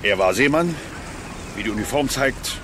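An actor playing a pompous, self-assured police detective sergeant in a radio play, curt and officious. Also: male voice, medium pitch, expressive, normal speed, lightly acted. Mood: smug, officious. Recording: noisy waterside sound effects behind.

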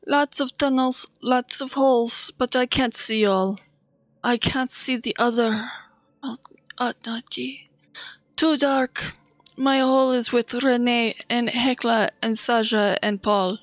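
A woman playing a frightened, traumatized child in a horror audio drama, speaking in halting, broken sentences. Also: a little high, steady tone, dry recording. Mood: fearful, subdued.

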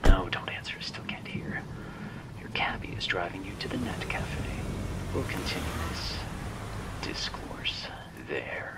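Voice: low voice, animated, a little slow. A performer voicing a snide, exasperated cyberpunk handler in a whispered ASMR roleplay, with sardonic, condescending, formal wording. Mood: exasperated, scolding, then curt, bossy.

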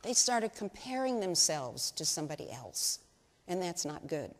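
A woman's voice: fairly low pitch, sing-song, normal speed. An older woman giving a chatty, folksy talk to a congregation in a large church sanctuary, on a lapel mic. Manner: chatty, folksy, asks the audience questions. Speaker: lay speaker at women's service. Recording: long hall reverb in sanctuary.

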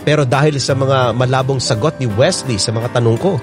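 A man's voice: mid-range voice, fairly quick. A man hosting a radio show, reading a listener's letter as sentimental scripted storytelling. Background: guitar music.

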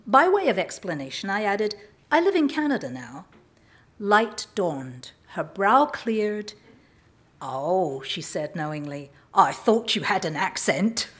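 Older English storyteller, slight mid-Atlantic tinge, wry, lively pitch, stage mic. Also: female voice. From England. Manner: wry, acts out voices in quotes. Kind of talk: reading a personal story on stage. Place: small cabaret stage. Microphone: vintage stand mic.

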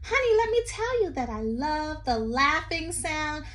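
A middle-aged woman reading a poem aloud to young children like a teacher, expressive and sing-song, with chatty asides. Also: sits high, unhurried. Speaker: teacher reading to her class. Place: small room indoors. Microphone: phone at arm's length.

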